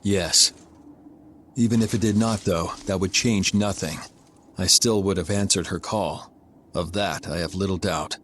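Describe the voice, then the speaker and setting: An actor playing a grave, courteous and resolute warrior in a video game cutscene, speaking in formal, measured phrases. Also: male voice, deep voice, fairly bright tone, slight background noise. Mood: earnest, solemn.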